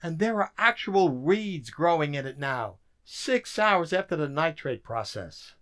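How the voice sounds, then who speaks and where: An actor playing a weary, dry-witted government codebreaker in an emphatic radio drama style. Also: male voice, a little high, big pitch swings, unhurried, fairly bright tone. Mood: wry, reflective.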